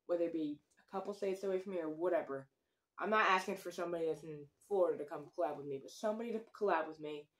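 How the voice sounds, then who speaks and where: Young guy rambling earnestly at his phone cam, lots of ums and likes, small room. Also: sits high. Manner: earnest, rambling, many fillers. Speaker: fishing vlogger. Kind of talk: casual to-camera chat. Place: small wooden room with many windows, indoors. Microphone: phone camera at desk distance.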